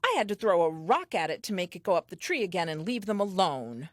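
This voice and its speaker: An actress playing a talkative, eager and self-assured first woman telling her diary in a comic audio play, earnest and expressive. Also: on the low side, brisk, dry recording, clean studio sound. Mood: curious, delighted.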